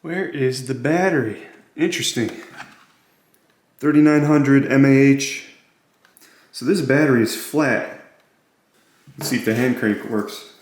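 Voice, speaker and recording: A heavily echoing room surrounds this man's voice, which sits at an average pitch. He talks like a hands-on product reviewer, casual and matter-of-fact, naming one feature after another. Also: measured pace, long pauses, darkish tone. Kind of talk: casual hands-on gadget walkthrough. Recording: very echoey, roomy sound.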